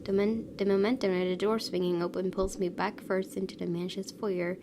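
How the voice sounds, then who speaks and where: A woman's voice: mid-range voice, little pitch movement, fairly quick, noisy background. A woman reading visual novel narration aloud expressively as a gaming video host. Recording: clear music under the voice.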